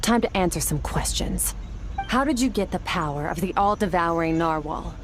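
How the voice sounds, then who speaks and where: A stern, formidable warrior woman played by an actress speaks in a fairly low register. She is cool and detached, laying out her reasoning with measured condescension, as game music plays clearly behind her. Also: lively intonation, close to an everyday voice. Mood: stern, probing. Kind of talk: scripted video game voice acting. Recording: game audio under loud music.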